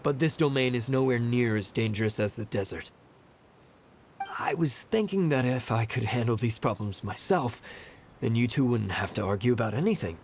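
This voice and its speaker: A man voicing a sickly, mild-mannered young man in a video game, gentle and a little hesitant. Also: medium pitch, lively intonation, normal speed, a little hiss or hum, lightly acted. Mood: resigned, apologetic.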